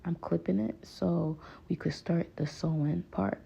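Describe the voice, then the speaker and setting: Hairstylist, young adult woman with a Haitian accent, low gravelly voice, coaxing and patient, dull lo-fi recording. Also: fairly even intonation, measured pace, warm tone. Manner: patient, pleading, repeats "please, guys". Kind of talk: voice-over tutorial.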